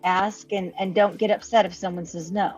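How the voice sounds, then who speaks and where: A woman's voice: mid-range voice, fairly even intonation, moderate pace, darkish tone, husky, lo-fi sound, faint music behind. A woman co-hosting a casual chat, chatty and giggly, trailing off when she is unsure. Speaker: co-host.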